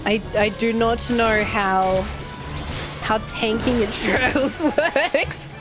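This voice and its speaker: A woman's voice: on the high side, extremely slow, music in the background. An Australian woman streaming a game, chatting casually and rambling with some swearing, her throat a little irritated and her voice a bit worn. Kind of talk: casual livestream chat while gaming.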